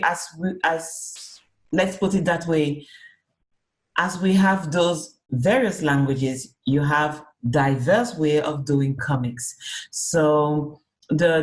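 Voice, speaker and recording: Woman, deep voice, video call, slow searching answers, festival organizer. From Cameroon. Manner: speaks slowly and searchingly, with fillers and rephrasing. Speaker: guest, comics artist and festival organizer. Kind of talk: remote interview, thoughtful casual answers.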